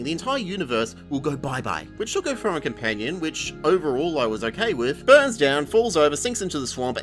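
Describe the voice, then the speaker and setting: A man's voice: on the high side, a bit bright. An Australian man narrating an opinionated scripted review in a sweary, emphatic, sardonic way. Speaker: video review host. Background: music under the voice.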